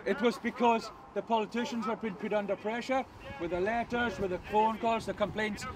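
A middle-aged man speaking to a protest crowd without a megaphone, projecting his big voice forcefully. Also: sits high, steady tone, fairly quick. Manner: loud unamplified address, forceful. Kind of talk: street protest speech. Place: outdoors by a hotel car park. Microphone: far camera, no megaphone. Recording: distant outdoor voice, crowd noise.

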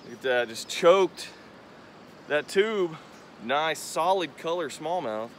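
A man's voice: a little high, slight background noise. Chatty young man fishing by a river. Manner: relaxed, chatty, explains while casting. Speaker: fishing channel host. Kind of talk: casual fishing vlog narration. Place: riverbank outdoors by a bridge. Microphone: tripod camera at a distance. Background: rushing river current.

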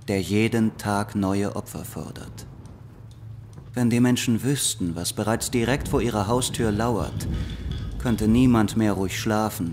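A man's voice: fairly low pitch, darkish tone, slight background noise. An actor playing a grim man in a secret organization, speaking in a solemn, weighty tone. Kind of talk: dramatic prologue monologue.

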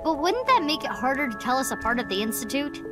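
A woman's voice: sits high, big pitch swings, fast. A woman voicing an earnest, curious young woman detective in a video game. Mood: inquisitive. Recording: game audio with background music.

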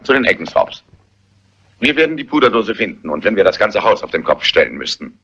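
An actor playing a gruff, authoritative, businesslike police inspector in a crime film. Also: male voice, on the low side, very animated, fairly quick, noisy background. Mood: brisk, insistent. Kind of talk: German dubbed crime film dialogue. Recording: dull, muffled old film soundtrack.

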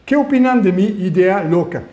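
A man with a French Canadian accent, a native French speaker, giving an animated webinar presentation as an online marketing mentor and asking his audience questions. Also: sits high, moderate pace, reverberant.

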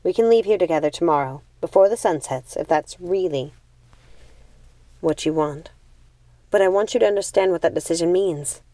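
Earnest young witch female audiobook reader, pleading, dutiful, soft mellow tone, dry recording. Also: on the low side, normal speed, long pauses, no echo, natural voice. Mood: resigned, pleading. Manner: pleading, insistent. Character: earnest, devoted young witch torn by duty.

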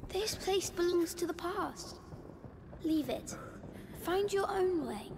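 A woman voicing a solemn, ghostly young boy in a video game, speaking in a deliberate, cryptic and reproachful way. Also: extremely high pitch, expressive, background noise, full character voice. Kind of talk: dramatic video game acting.

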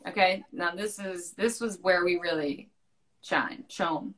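A young woman chatting casually on a livestream video call, bubbly and quick to laugh, speaking into a phone held at arm's length. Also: lowish voice, fairly bright tone, low-quality recording. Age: young adult. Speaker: livestream co-host. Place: bright room indoors.

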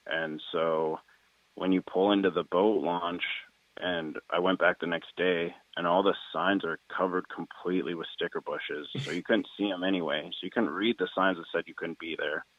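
A man's voice: low-pitched, steady tone, moderate pace, no echo. An American man calling in over a tinny phone line, casually telling a personal story. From Washington state, United States. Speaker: caller. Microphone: phone call.

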